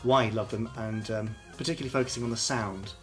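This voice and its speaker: A man's voice: lowish voice, fairly even intonation, fast talker. A man co-hosting a podcast, trading dry jokes in casual chat, with faint music behind. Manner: dry, joking banter. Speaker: podcast co-host.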